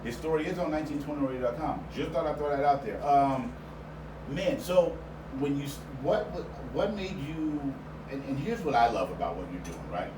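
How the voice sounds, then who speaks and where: A man's voice: fairly high pitch, expressive. A man hosting a casual interview, talking conversationally and often repeating words as he works toward his point. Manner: halting, repeats words while forming questions. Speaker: interview host. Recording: roomy, distant mic, noisy background.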